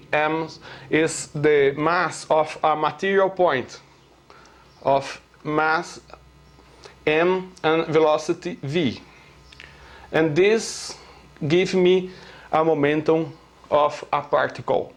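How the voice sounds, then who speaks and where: Slow, halting Brazilian man lecturing. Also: a little high. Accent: Brazilian Portuguese. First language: Portuguese. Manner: hesitant, many pauses and filler sounds; earnest, halting non-native phrasing. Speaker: self-taught theorist presenting his theory.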